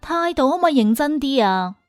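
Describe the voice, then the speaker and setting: A fairly high-pitched, condescending job interviewer , played by a woman narrator, speaks in a stern, challenging way. The Cantonese audiobook line sounds dry and close, with music underneath. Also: lively intonation, fairly quick, darkish tone, dry recording. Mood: stern, scolding. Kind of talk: audiobook character dialogue in Cantonese.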